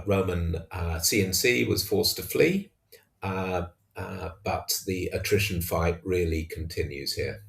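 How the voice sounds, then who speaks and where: A man giving an unscripted recap of a tabletop wargame in a hesitant, halting way. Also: low-pitched, vocal fry. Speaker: tabletop wargamer narrating own battle report. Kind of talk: unscripted match recap commentary.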